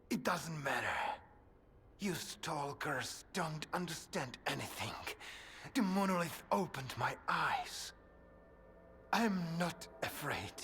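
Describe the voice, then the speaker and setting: A devout fanatic cultist in a game, talks slow n solemn, bit of background noise. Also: male voice, on the high side, a bit bright, full character voice. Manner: slow, solemn, fervent, dropping into whispers. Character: fanatical male cult follower, resigned and devout. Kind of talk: video game voice acting.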